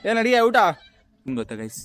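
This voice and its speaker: A man's voice: sits high, sing-song, very fast, faint music behind. A young man hosting a casual vlog, teasing and talking straight to his viewers. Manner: teasing, addressing viewers directly. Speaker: vlogger, host.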